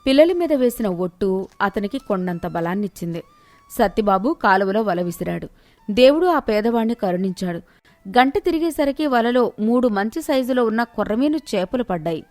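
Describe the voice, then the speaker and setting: A woman's voice: mid-range voice, lively intonation, normal speed, darkish tone, no echo, faint music behind. A woman narrator reading a scripted moral tale in storytelling style.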